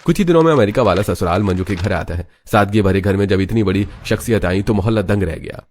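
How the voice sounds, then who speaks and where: A man's voice: on the low side, fast talker, a little mellow. A man narrating a scripted dramatic family story as a storyteller. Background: background music.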